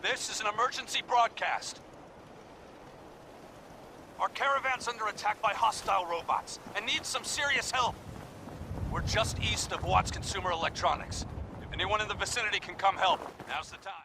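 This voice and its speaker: A man's voice: high-pitched, moderate pace, pauses often. A man playing an embattled caravan leader in a video game, urgently broadcasting a call for help. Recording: noisy distant radio broadcast outdoors.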